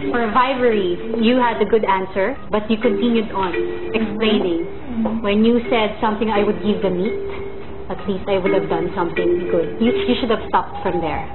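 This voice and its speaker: A woman's voice: mid-range voice, fairly even intonation, moderate pace. Direct woman coaching pageant contestants. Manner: direct, corrective, mixing English and Tagalog. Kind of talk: giving feedback. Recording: echoey, tinny sound with loud music.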